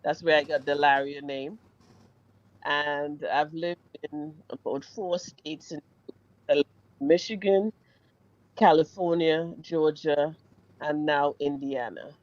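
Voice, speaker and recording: A woman's voice: deep voice, fairly even intonation, slow pace, muffled recording. A Caribbean-born woman, a doctor, speaking unhurriedly as a guest on a radio interview. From Caribbean, born in St Vincent, raised in Trinidad.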